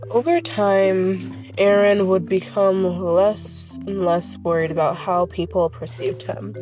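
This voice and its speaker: A woman who is sick and sniffling, her voice congested, talking casually about her characters, often correcting herself and drifting off script. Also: medium pitch. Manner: rambling, self-correcting, goes off script. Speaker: creator presenting her characters. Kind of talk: casual rambling vlog commentary.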